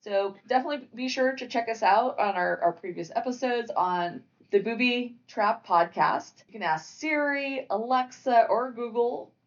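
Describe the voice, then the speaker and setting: A woman's voice: on the high side, normal speed, hardly any pauses. A woman co-hosting a podcast, talking in a casual, conversational style about film. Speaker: podcast co-host. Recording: distant mic, slightly dull lo-fi audio.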